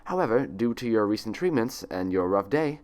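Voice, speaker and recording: A man's voice: fairly low pitch, lively intonation, brisk, a little mellow, natural voice. A man voicing a female pony nurse in an audiobook story, syrupy and condescending with a cold, authoritative edge. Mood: falsely cheerful. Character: falsely cheerful, icily stern female pony nurse.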